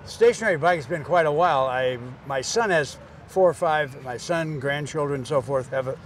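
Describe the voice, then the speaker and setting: Man in his eighties, fairly high voice. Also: moderate pace, distant mic. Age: 80s. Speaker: interviewed rider. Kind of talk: casual interview answers. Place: outdoors. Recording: outdoors with faint traffic noise.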